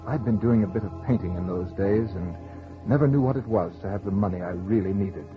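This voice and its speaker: An actor playing a young painter husband who tells his tale in literary, measured phrases in an old radio drama. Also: on the low side, lightly acted. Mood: wistful, reflective. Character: young painter husband, wistful storyteller, tender and teasing. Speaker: actor narrating in character. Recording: dull vintage radio broadcast with music.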